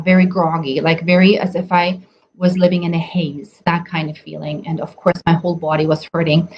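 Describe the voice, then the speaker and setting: A woman, a nutrition coach talking casually on a livestream, full of ums and restarts. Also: on the low side. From Germany. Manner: chatty, many ums and restarts. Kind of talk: casual live stream teaching. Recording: slightly dull audio with faint noise.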